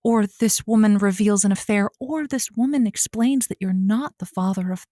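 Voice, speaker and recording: A woman plays a female call-in advice host on a comedy show. She is frank and wry, with folksy, pointed turns of phrase and a medium pitch. The sound is dry and studio-close. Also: moderate pace, warm tone, dry recording, lightly acted. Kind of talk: improvised comedy radio advice talk.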